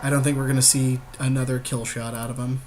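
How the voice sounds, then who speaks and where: A man's voice: medium pitch, flat delivery, fast, a little mellow. A man co-hosting a gaming podcast, bantering informally and agreeing as he chats through an online card game. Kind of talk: casual chatty game commentary.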